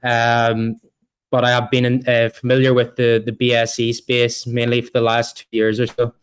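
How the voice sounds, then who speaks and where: A man's voice: medium pitch, monotone, normal speed, clean tone. A man from Ireland with an Irish accent, a project co-founder speaking as a guest, hesitant with many ums and restarts. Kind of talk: casual interview talk.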